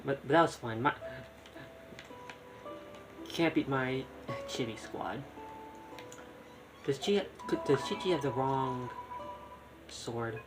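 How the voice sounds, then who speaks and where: Male game streamer, mid-pitched, casual and muttering running commentary, with loud game music underneath. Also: takes it slowly, long pauses, low-quality recording. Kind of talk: casual live gameplay commentary. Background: loud video game music.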